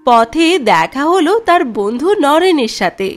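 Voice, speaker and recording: A woman's voice: high voice, big pitch swings, unhurried. A woman narrating a scripted cartoon story as its storyteller.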